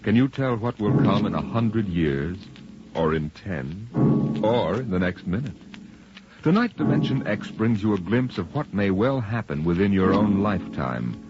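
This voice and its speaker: A man narrating a 1950s radio drama in a measured, portentous, scripted announcer style. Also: lowish voice, animated. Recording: muffled old radio, loud music.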